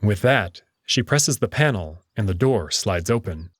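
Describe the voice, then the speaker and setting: A man's voice: lowish voice, expressive, measured pace, no echo, studio quality. A man narrating a first-person story in a dramatic reading style.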